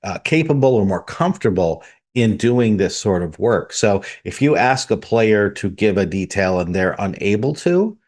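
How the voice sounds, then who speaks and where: A man's voice: on the low side, animated, normal speed, few pauses, a little mellow. A man co-hosting a tabletop gaming podcast, explaining game-running tips and reading out news items. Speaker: podcast co-host. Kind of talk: casual podcast discussion.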